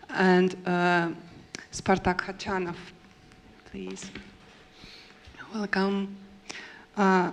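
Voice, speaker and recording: A woman whose first language is Russian, moderating a panel at a conference. Her voice sits low and has a gravelly fry to it. She talks haltingly and fills her pauses with "uh" and "um". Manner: hesitant, many fillers and pauses.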